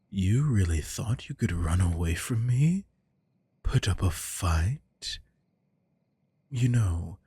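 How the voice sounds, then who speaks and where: A man voicing a predatory, dominant vampire lord in an ASMR audio roleplay, taunting slowly and often whispering close to the microphone. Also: a little mellow, full character voice. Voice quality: deep, gravelly, breathy.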